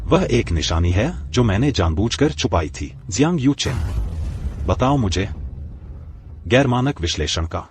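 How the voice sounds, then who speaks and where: A man dubbing a young man who calmly exposes a fraud, speaking in a cold, mocking, methodical way. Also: on the low side, a little slow. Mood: accusing. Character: young man exposing a thief, calm and cutting. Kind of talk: Hindi dub of a drama.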